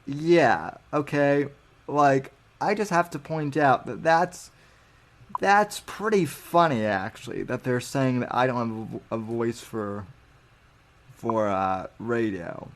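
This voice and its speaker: Slow, halting young man host. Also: mid-range voice. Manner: halting, many restarts and fillers; defensive, mocking chat critics. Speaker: podcast host reading live chat. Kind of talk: casual livestream chat.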